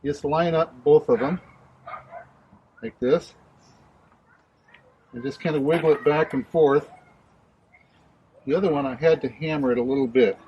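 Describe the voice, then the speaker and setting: A man's voice: fairly high pitch, unhurried, pauses often, dull audio, slight background noise. A man casually narrating a hands-on DIY installation, explaining it plainly step by step.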